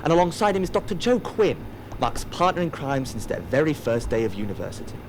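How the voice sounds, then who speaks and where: A man's voice: medium pitch, sing-song, fairly quick, background noise. A man giving scripted audio drama narration in a wry, theatrical manner.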